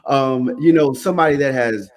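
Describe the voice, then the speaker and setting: A man hosting a podcast in a laid-back way, asking questions and warmly affirming his guest. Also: medium pitch, moderate pace. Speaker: podcast host. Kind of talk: casual interview hosting.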